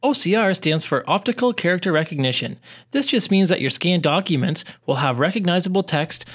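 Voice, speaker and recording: A man presenting a scripted tutorial in a clear, instructional way. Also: high-pitched, animated, brisk, fairly bright tone. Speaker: tutorial presenter, educational technologist.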